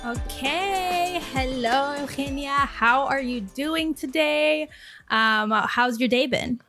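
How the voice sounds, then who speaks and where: A woman's voice: high voice, slow, music in the background. A woman from Chile hosting a casual interview podcast, chatty, with many "like"s and "um"s. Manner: warm, chatty, lots of "like" and "um". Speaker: podcast host.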